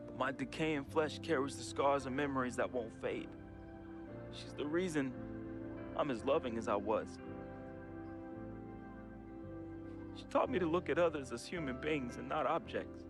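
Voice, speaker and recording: A male actor voices a troubled young American man in a game drama, speaking a farewell confession over faint music. His voice sits at a medium pitch, with slangy, heartfelt phrasing and grief running through it. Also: normal speed, pauses often, close to an everyday voice. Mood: tender, mournful. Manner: emotional, slangy, heartfelt urban American delivery.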